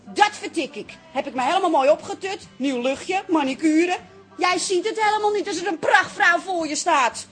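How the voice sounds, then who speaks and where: An actress playing a chatty, flirtatious and brassy single woman in a radio comedy-drama, quick to take offence. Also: very high-pitched, a bit bright, faint music behind. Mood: indignant. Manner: gushing, giggly, brassy. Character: chatty, flirtatious single woman who turns indignant when snubbed.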